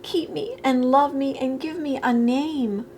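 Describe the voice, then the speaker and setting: A woman's voice: sits high, fairly even intonation, measured pace, mellow, slight background noise, pitched above the performer's own voice. A woman voicing a lonely, timid female doll in a storybook, pleading plaintively to be loved.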